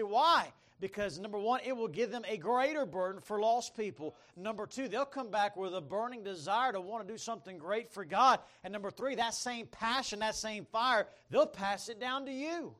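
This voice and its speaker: High-voiced preacher man. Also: animated, moderate pace. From Bahamas, raised by American missionary parents. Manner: folksy, storytelling, calls for amens; dynamic, swings from loud emphasis to quiet asides. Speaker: missionary preacher. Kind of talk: sermon, personal testimony.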